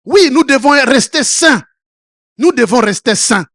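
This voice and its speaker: A man with a fairly high voice delivers a sermon in French, which is not his first language, at an unhurried pace. He stumbles, repeats short words and restarts, then builds to loud exhortations before falling back to a soft, low tone. The sound is close and dry. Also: lively intonation, no echo. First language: another African language. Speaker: pastor.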